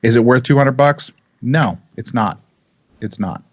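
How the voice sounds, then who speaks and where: A man's voice: medium pitch, unhurried, highs cut off. An American man talking casually and emphatically on a panel, repeating phrases as he makes his points. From United States. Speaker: vaping talk show panelist. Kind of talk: opinionated casual panel discussion.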